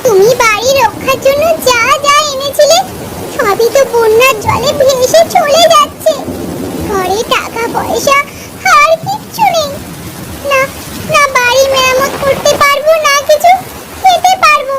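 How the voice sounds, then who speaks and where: A woman's voice: very high voice, measured pace, noisy background. A woman voicing an anxious, pleading wife from a poor village in a cartoon story. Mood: anxious, fearful. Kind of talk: Bengali cartoon story dialogue.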